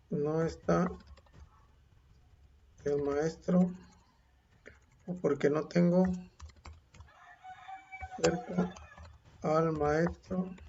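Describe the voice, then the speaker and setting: A male teacher, dictating and reading a model text aloud slowly, saying the punctuation. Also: a little high, vocal fry, darkish tone, slight background noise. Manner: slow, deliberate dictation with spoken punctuation. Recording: dull lo-fi home recording.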